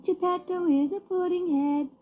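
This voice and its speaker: A woman voicing a cheeky, naughty talking puppet boy with a high childlike voice for a children's storybook. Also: steady tone, normal speed, mellow, faint music behind. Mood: taunting. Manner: impudent, exclaiming. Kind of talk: children's audio storybook character voice.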